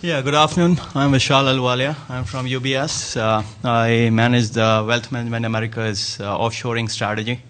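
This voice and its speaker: A man's voice: mid-range voice, fairly even intonation, brisk, few pauses, fairly bright tone, a little hiss or hum. A man introducing himself at a conference panel in a friendly, jokey manner. He sits at a panel table in a hotel conference room. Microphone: table microphone.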